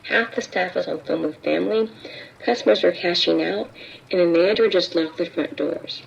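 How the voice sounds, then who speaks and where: A woman playing a gravelly undercover vice cop on a muffled radio. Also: on the low side, slight background noise. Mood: tense. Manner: quick, clipped report. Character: tense undercover female vice officer.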